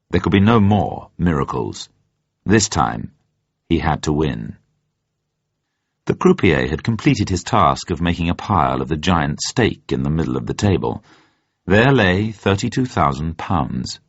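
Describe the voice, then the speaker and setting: A man reading a thriller as a dramatic scripted narration, with measured, suspenseful phrasing. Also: sits low. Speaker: narrator, reader. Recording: dull, echoey audio.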